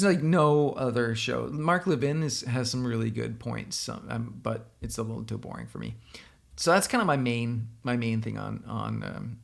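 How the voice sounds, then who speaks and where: A man from Denmark with a Danish accent, a software company founder giving opinionated, casual commentary straight to the viewer. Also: mid-range voice, creaky. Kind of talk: casual commentary responding to a blog post.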